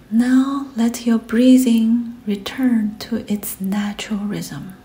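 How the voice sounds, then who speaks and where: A woman leading a scripted guided meditation in a soft, breathy, often near-whispered voice, gentle and soothing, with pauses between short phrases. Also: a little high, fairly even intonation.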